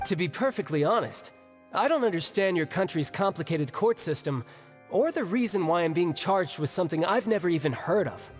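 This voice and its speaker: Young male game warrior, playful, battle-hungry swagger, very animated delivery. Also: high voice, brisk, soft background music. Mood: cocky, playful. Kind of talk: anime game voice acting.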